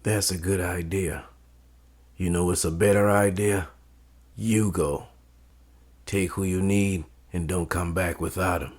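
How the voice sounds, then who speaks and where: Man acting as deep, commanding mob boss. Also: fairly even intonation, darkish tone. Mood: controlled authority. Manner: formal, measured, authoritative. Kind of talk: dramatic audio story acting. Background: background music.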